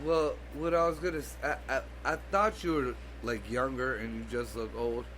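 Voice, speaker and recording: A man's voice: medium pitch, steady tone, normal speed, fairly bright tone, slight background noise. A man in casual drinking banter as a podcast co-host, brash and crude, needling the others.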